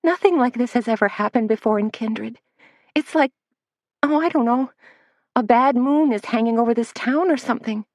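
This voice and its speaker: A woman's voice: moderate pace, no room sound, studio quality, lightly acted. A woman reading the lines of a flustered young female assistant, her voice raised and words tumbling out. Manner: breathless, words tumbling out, higher pitched.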